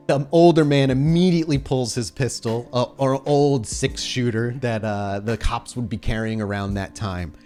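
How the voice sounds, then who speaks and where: A man's voice: mid-range voice, steady tone, moderate pace, few pauses, mellow, faint music behind. A man running a tabletop horror game as storyteller, narrating in a descriptive, cinematic way.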